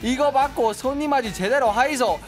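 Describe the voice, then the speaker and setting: A man, a radio host, putting on a loud, swaggering Busan man in a broad put-on Busan dialect, over faint background music. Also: very high-pitched, brisk, pitched above the performer's own voice. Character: brash adult male Busan local hamming it up.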